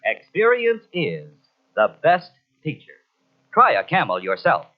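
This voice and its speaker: Lively, high-pitched American male radio pitchman. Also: measured pace. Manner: polished, emphatic salesmanship. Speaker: commercial announcer. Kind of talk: 1940s radio cigarette advertising read. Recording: dull old radio recording from cassette.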